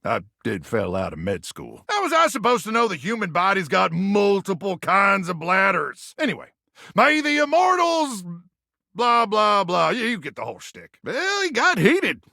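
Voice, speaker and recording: An American man voicing a feral, preachy wasteland soldier in a video game, with a gravelly voice and a folksy, theatrical drawl. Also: high voice, huge pitch swings, normal speed, pushed and bright, no room sound, studio quality, full character voice. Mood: blasé, preachy. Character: feral, zealous, bragging wasteland soldier of a household appliance. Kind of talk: video game character voice acting.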